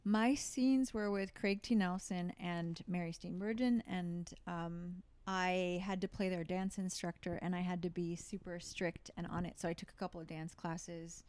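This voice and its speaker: A woman actor chats through a relaxed interview in a slightly low voice. She thinks out loud, circling back with fillers and restarts as she goes. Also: normal speed, hardly any pauses. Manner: thoughtful, with frequent fillers and restarts. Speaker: actor and guest. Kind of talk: casual interview talk.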